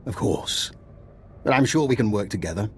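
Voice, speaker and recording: An actor playing a polite, erudite scientist-spy in a video game, with formal, measured phrasing. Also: male voice, lowish voice, animated, a little hiss or hum, lightly acted. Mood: musing, admiring. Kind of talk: dramatic game dialogue.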